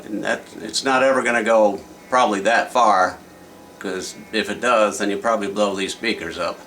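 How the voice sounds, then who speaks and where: A man's voice: lowish voice, steady tone, normal speed, fairly bright tone, a little hiss or hum. A man giving a casual hands-on repair demonstration in a plain-spoken, folksy way, as the host of a repair video. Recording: distant microphone, music playing.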